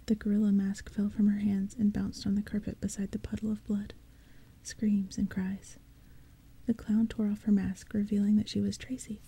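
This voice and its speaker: Brief: whispering female narrator, very breathy, dark soft tone. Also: mid-range voice, flat delivery, moderate pace. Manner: soft, hushed whisper throughout. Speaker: audiobook narrator. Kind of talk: whispered audiobook reading.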